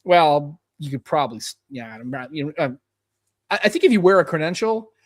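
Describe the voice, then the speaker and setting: A man hosts a loose tech podcast, rattling along at a fast clip. His voice sits a touch high and the sound is dry and close. He rambles and jokes, now and then mimicking accents. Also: big pitch swings, dry recording. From United States. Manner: rambling, mock-imitates accents. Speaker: podcast host. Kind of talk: casual panel chat.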